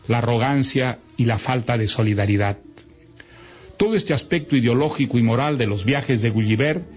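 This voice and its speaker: A man with a mid-pitched voice reads a scripted literary introduction as a radio presenter. His delivery is formal and deliberate, in a slightly dull recording with faint music behind him.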